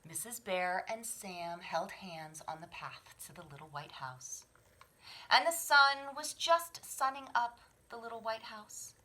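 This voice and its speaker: Slow, very animated woman reading a storybook. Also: mid-range voice, rough recording. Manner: dramatic, with hushed whispers and sudden swells. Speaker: children's library story reader. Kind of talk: expressive picture-book read-aloud.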